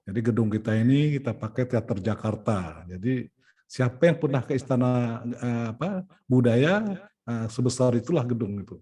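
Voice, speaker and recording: Indonesian organizer, middle-aged man, warm mellow tone, explains logistics one by one, leans on "nah" and "ya". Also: medium pitch, expressive, normal speed, slightly rough. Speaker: event organizer and poet. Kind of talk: planning meeting talk.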